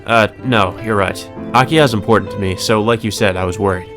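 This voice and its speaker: A man voicing a gloomy, anxious male high school student with a hesitant manner, in a visual novel read aloud. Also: a little fast, a bit bright, close to an everyday voice. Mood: flustered. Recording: music under the voice.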